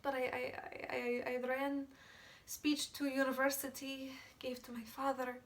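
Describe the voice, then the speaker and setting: A woman acting a guilt-ridden, fragile Polish woman in a dramatic monologue, with a Polish accent and halting, stammering speech that often drops to whispers. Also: sits high, fairly even intonation, vocal fry, far from the mic, full character voice. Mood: agitated, distressed. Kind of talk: dramatic film monologue, amateur.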